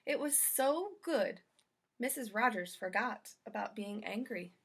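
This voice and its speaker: A woman narrating a children's storybook aloud in an expressive read-aloud style. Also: on the high side, measured pace, creaky, husky. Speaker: storybook reader.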